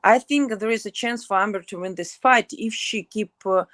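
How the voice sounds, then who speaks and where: A woman's voice: medium pitch, moderate pace, fairly bright tone, no room sound, studio quality. A young woman fighter with a Ukrainian accent, speaking blunt, broken English as a guest in a casual interview.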